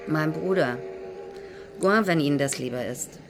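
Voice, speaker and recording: A woman's voice: low voice, a little slow, noisy background. An actress in a German radio play as a guarded, plain-spoken woman who answers curtly and matter-of-factly. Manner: curt, matter-of-fact.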